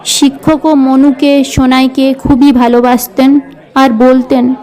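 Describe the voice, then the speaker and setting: A woman's voice: high-pitched, little pitch movement, slow pace, dark tone, low-quality recording. A woman narrating a scripted cartoon story in a storybook style.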